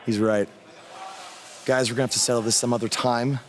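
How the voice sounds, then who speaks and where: A man's voice: medium pitch, fairly even intonation, a little fast, natural voice. A man playing a confident, defiant young wrestling champion, declaiming cockily as in a promo on the microphone. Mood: challenging. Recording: echoing arena sound, clear noise behind.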